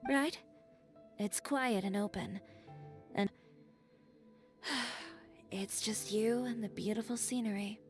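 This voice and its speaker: A headstrong young tailor, calm and measured. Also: female voice, on the high side. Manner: calm, measured, reflective storytelling. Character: proud young female tailor, headstrong but composed. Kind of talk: video game voice acting. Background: game music.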